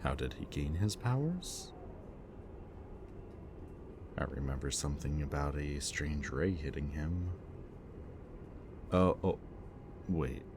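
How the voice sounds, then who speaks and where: A man speaking a gentle, hesitant roleplay monologue to the listener as a soft-spoken villain. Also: a bass voice, background noise.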